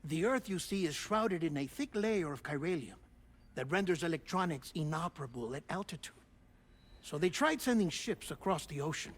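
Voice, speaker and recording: A man's voice: on the high side, animated. An actor playing an eccentric, affable male researcher telling a story in a theatrical, conversational way for a video game cutscene. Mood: expository, intrigued. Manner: theatrical, conversational storytelling with chuckles and dramatic pauses. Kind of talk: video game cutscene monologue, explanatory narration.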